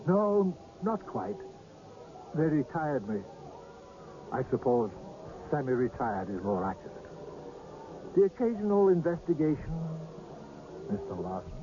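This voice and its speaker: A man's voice: on the high side, big pitch swings, a little slow, long pauses. Genteel old mystery man, lilting delivery. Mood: musing, knowing. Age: older. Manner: genteel, hesitant, precise, softly knowing. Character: polite, mysterious old scout of seers. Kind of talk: radio drama acting. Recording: dull, noisy old radio broadcast.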